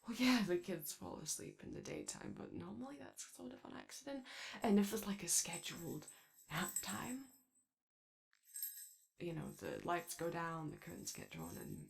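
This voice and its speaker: A woman's voice: fairly low pitch, vocal fry, full character voice. A woman voicing an anxious, kind-hearted daycare robot in a hesitant, rambling, apologetic ASMR roleplay. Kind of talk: ASMR character roleplay, soft conversational.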